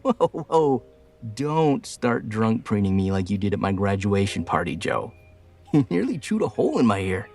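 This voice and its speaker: An actor playing a devoted young man, a grown son who banters wryly, in an animated comic drama. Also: on the low side, moderate pace, soft background music. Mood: amused protest. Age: young adult. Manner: lively, bantering. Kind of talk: animated motion-comic voice acting.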